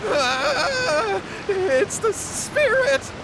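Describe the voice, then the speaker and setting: A man's voice: very high voice, sing-song, drawn-out words, exaggerated voice. A man voicing a nervous, cowardly young schemer in an anime-style game, flustered, pleading and defensive. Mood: terrified. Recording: clear background ambience noise.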